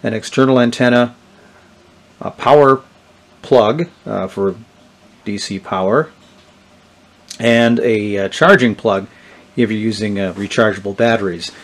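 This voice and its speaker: A middle-aged man. He talks casually and without a script, showing an item piece by piece. His delivery is halting, as if working out each point aloud. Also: fairly low pitch. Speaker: presenter of a vintage electronics channel. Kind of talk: casual unscripted product demonstration. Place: indoors, small tabletop product-shooting setup.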